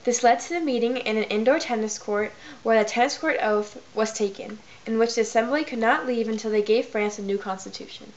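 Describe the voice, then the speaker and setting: High-voiced teenage girl narrating, muffled recording. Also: fairly quick, few pauses. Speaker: student narrator. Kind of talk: scripted history narration. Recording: dull, faintly noisy amateur recording.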